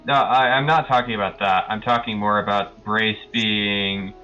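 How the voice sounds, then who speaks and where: Low, bright-edged man, a hesitant player. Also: steady tone, moderate pace, lo-fi sound, soft background music. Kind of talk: casual rules discussion at a tabletop game.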